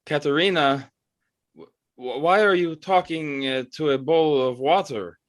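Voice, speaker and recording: Actor playing nervous male choreographer, stammering, hesitant, fairly high voice, period audio play. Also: a little slow, close to an everyday voice. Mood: puzzled, uneasy. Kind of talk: theatrical period audio drama acting.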